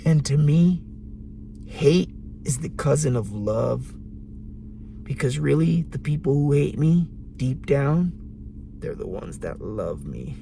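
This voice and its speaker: A man with a raspy voice giving a slow, deliberate late-night monologue in a parked car, pausing for emphasis. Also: medium pitch, big pitch swings, mellow, slight background noise. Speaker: vlogger.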